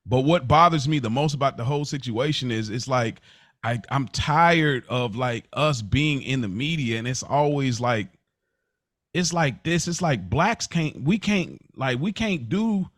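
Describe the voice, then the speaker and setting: A man's voice: medium pitch, expressive, a little slow, fairly bright tone, dry recording, clean studio sound. A man in casual, opinionated podcast discussion, talking slangily and repeating phrases for emphasis. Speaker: podcast co-host.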